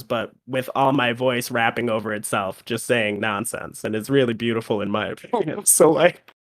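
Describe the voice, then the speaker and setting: A man guesting on a podcast, slightly sick with a cold, talks in a relaxed, easygoing way. He laughs often and pokes fun at himself. His pitch sits in the middle range for a man. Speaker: rapper guest. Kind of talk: casual interview chat.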